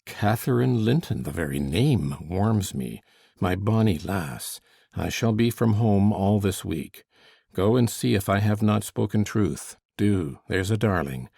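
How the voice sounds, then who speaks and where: A man's voice: lowish voice, lively intonation, unhurried, vocal fry, dark tone, husky, dry recording, clean studio sound, full character voice. An actor playing a brooding, cruel middle-aged man who taunts menacingly and coaxes falsely. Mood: coaxing. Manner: menacing, mocking, then falsely coaxing. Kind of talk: audiobook character reading.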